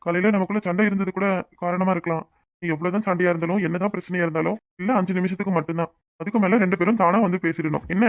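A man's voice: high-pitched, fairly even intonation, rapid-fire, dry recording. A man playing an affectionate, teasing young husband in a domestic drama, coaxing, familiar and apologetic. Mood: soothing. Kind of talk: domestic serial drama acting.

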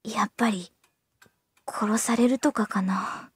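A woman's voice: medium pitch, steady tone, takes it slowly, slightly rough. A woman playing a gloomy, self-loathing sixteen-year-old schoolgirl in an anime audio drama, polite and easily flustered. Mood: gloomy, brooding. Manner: flustered, pessimistic, polite speech.

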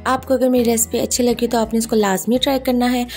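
Woman cooking-channel host, reassuring voice-over, soft music bed. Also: a little high, flat delivery, fast talker. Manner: warm, reassuring, repeats "ye dekhiye ji" and "ji janab".

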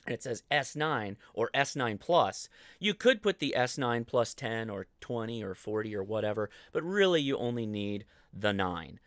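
American male host, forties, for an informal explainer stream; clean, smooth voice, dry close sound. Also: medium pitch, a bit bright, dry recording. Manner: informal, conversational, thinking aloud. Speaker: host of a ham radio show. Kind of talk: casual livestream explainer. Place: indoor home studio.

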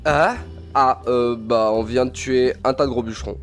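A man voicing a brash, awkward imp assassin in a French animated fan dub, blunt, casual and hesitant. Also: medium pitch, moderate pace, close to an everyday voice. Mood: evasive. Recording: music behind the voice.

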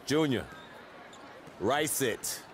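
An actor playing an upbeat, caring middle-aged mentor to an athlete in a video game cutscene. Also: male voice, mid-range voice, expressive, extremely slow, slight background noise. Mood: caring, firm.

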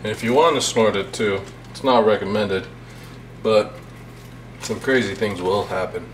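A young man hosting a mock cooking show in his home kitchen, telling absurd made-up facts about the food in a deadpan way. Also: on the low side, moderate pace. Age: young adult. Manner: deadpan, absurd tall tales told straight. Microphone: camera mic from a few feet away. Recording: echoey kitchen, distant camera mic, noisy.

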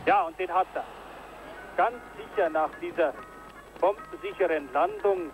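A man giving live commentary on a ski jumping event, getting excited on big jumps, from an old broadcast tape. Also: high voice, measured pace, soft background music, poor audio. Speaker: sports commentator.

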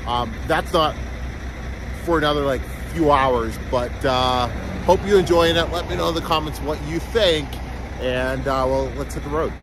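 A man in his thirties hosting a casual road-trip vlog, chatty and excitable, talking straight to his viewers from a car and roadside rest stops on a handheld camera. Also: fairly high pitch, moderate pace. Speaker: car vlogger. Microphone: phone or camera held at arm's length. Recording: noisy road and car background.

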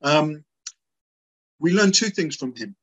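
A man in his sixties from England, a guest author on a video call from a small room at home, explaining with lively, emphatic repetition. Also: on the high side, takes it slowly, breathy edge, highs cut off. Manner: animated, gestures as he explains; lively, emphatic with repeated words. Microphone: laptop webcam on a video call.

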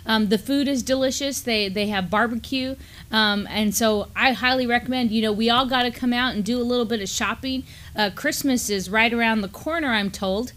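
A woman's voice: fairly high pitch, normal speed, fairly bright tone. A middle-aged woman with an American accent co-hosting community news in a casual conversational style. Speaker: co-host of a community news show. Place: indoor studio, seated at a desk.

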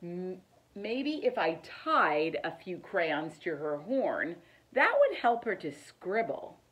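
A middle-aged woman reads a children's picture book aloud in an expressive, deliberate storytelling manner. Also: lowish voice, poor audio. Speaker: teacher reading aloud. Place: living room, indoors.

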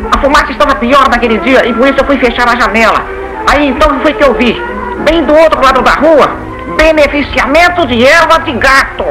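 A very high-pitched, neurotic cartoon duck with a Brazilian accent. He recounts his troubles anxiously, stammering and pleading, with loud music playing behind him. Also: brisk, rough recording. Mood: anxious recounting. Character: neurotic male cartoon duck confessing strange cravings. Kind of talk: Brazilian cartoon dub narration.

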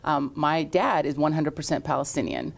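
A middle-aged woman with a somewhat low voice, chatting through her life story. She owns salons and answers loosely, with frequent ums and small restarts. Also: expressive, normal speed, vocal fry. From Lexington, Kentucky. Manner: warm storytelling with frequent fillers. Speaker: salon owner and entrepreneur. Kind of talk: casual interview answers.

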